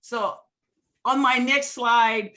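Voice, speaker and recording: A woman's voice: on the high side, fairly even intonation, slow pace, sharp tone. A middle-aged woman from Hawaii giving an enthusiastic webinar talk over a video call, often restarting her sentences. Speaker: guest speaker. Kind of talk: webinar presentation.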